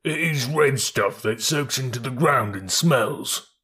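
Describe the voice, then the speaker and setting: Man acting storybook bullocks, placid, literal, slow drawn-out delivery, husky tone. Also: mid-range voice, pushed and bright, full character voice. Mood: stolid. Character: slow, stolid, literal-minded pair of bullocks.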